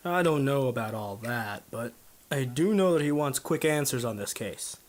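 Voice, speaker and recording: A man's voice: mid-range voice, lively intonation, moderate pace, natural voice. Noir radio drama: male actor as overworked new detective, mid-range voice, self-effacing, friendly, a little unsure of himself. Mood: modest, frank. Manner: hesitant, self-effacing, amiable.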